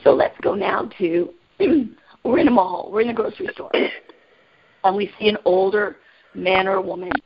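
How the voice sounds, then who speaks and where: A woman with a raspy voice today, leading a motivational group call in an upbeat, energetic way and asking the group questions. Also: mid-range voice, normal speed, muffled recording. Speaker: team call leader.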